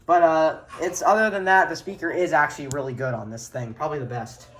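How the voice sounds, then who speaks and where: A man's voice: a little high, steady tone, quick pace, a little hiss or hum. A man giving a casual show-and-tell tour of a radio collection as an enthusiastic hobbyist.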